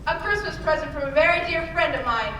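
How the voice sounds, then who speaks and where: Student acting excitable family man, very high voice, loud exclamations, radio play style, distant echoey noisy hall. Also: fast, natural voice. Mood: tender, content. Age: teens. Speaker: student theater performer. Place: live stage performance in a hall.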